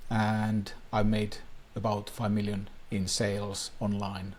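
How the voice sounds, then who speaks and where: A man's voice: lowish voice, completely flat delivery, takes it slowly. A man from Finland, a native Finnish speaker, coaching viewers on online marketing in an earnest talking-head explainer with plain, slightly non-native phrasing.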